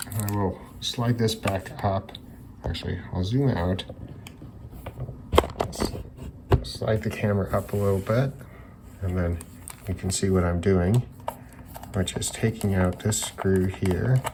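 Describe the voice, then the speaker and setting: A man hosting an electronics teardown video, talking casually and unscripted, haltingly thinking aloud as he examines parts. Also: deep voice, fairly even intonation, background noise. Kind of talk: casual unscripted hands-on demonstration talk.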